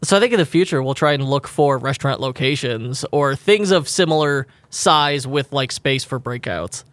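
A man's voice: medium pitch, lively intonation, normal speed, a bit bright, no echo. A man co-hosting a podcast in casual chat, rambling and laughing often as he corrects himself. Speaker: podcast co-host.

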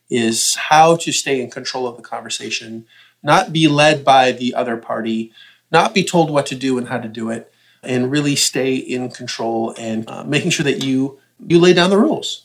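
Pria pembawa podcast, gaya santai. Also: male voice, mid-range voice. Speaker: podcast host. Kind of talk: casual podcast chat. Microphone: podcast mic.

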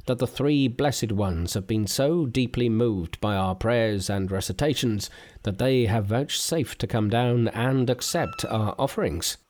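A man's voice: mid-range voice, a little slow, darkish tone, natural voice. A man doing a scheming, self-satisfied Taoist immortal.

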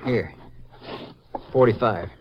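An actor playing a plainspoken, cooperative small-town Texas sheriff with a hesitant, folksy manner, in 1950s radio style. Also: male voice, lowish voice, slow pace. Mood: earnest, puzzled. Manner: hesitant, plainspoken, drawling rural phrasing. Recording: muffled, noisy 1950s radio recording.

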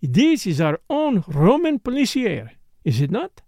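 A man's voice: high-pitched, huge pitch swings, a little slow, darkish tone, no room sound, clean studio sound, voice pushed up, full character voice. A man playing a courteous middle-aged detective with a French accent and neat, precise, formal diction in an audiobook. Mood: genial, playful.

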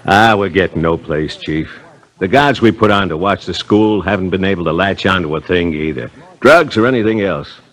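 An actor playing a plain-spoken, skeptical police sergeant and loyal sidekick in a 1950s radio crime drama, talking bluntly and informally. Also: male voice, low-pitched, moderate pace. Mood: frustrated.

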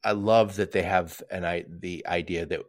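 A man's voice: deep voice, steady tone, normal speed. An older American man hosting a casual interview, talking in a chatty, digressive way. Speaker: podcast host.

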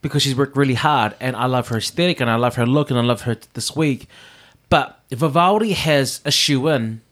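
A man co-hosting a casual review show, animated, talking fast over his partner. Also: mid-range voice. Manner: animated, talks over others, acts out quoted bits. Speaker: podcast co-host reviewing a drag competition. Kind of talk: casual chat.

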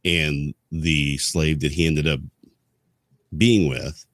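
A man speaking as a podcast co-host in a casual history discussion, explaining things in a halting, rambling way. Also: rumbling low, fairly even intonation, no room sound, clean studio sound.

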